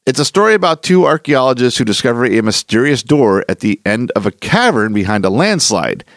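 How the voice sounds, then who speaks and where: A man's voice: medium pitch, very animated, brisk, no room sound, studio quality. A man working as a podcast host, reading a scripted introduction to classic radio horror. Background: soft music.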